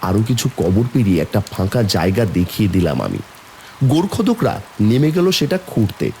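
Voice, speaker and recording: A man's voice: on the low side, sing-song, normal speed, dark tone, slight background noise. A man narrating a horror story in the first person as a somber young man, brooding and suspenseful. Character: somber young man recounting an eerie burial.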